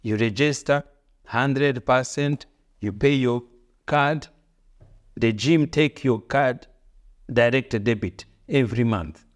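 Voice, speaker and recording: A man's voice: mid-range voice, slow, pauses often. A middle-aged man with a Rwandan accent, co-hosting a podcast and giving earnest, preachy advice in broken English, repeating his key phrases. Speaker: podcast co-host, father. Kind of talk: casual motivational chat.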